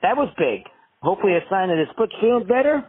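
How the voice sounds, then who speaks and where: High, husky man on a tinny phone line. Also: sing-song, quick pace. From Southern California. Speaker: voicemail caller. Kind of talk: casual fan voicemail.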